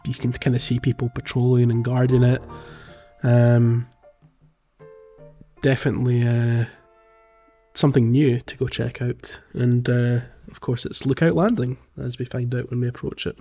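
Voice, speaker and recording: Guy chatting casually on a gaming podcast, lowish-mid voice, bit muffled, faint music under it. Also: level tone, moderate pace, dark tone. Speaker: podcast co-host. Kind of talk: casual chat about a video game playthrough.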